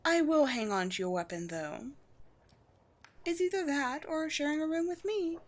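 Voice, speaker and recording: A woman voicing a proud, wry vampire queen with a formal, measured, teasing manner in a scripted audio roleplay. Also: sits high, lively intonation. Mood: wry, commanding. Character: poised vampire queen, proud, wry, secretly gentle colony leader.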